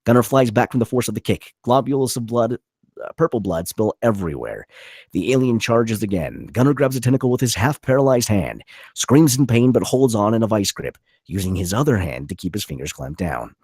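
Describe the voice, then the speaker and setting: Male table-read narrator: raspy, punchy, lively pitch swings, dry close sound. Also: fairly quick, no room sound. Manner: vivid, punchy reading of action. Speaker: narrator reading stage directions.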